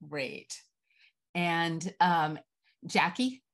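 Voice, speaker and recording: A woman's voice: lowish voice, expressive, extremely slow, fairly bright tone. A middle-aged woman informally hosting and introducing readers on a video call. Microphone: video call microphone.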